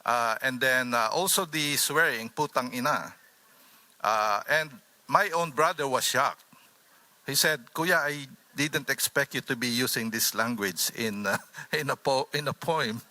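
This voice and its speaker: A man with a Filipino accent speaking informally as a panelist, in a halting, anecdotal way. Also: medium pitch, pushed and bright, no echo. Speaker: author on a discussion panel.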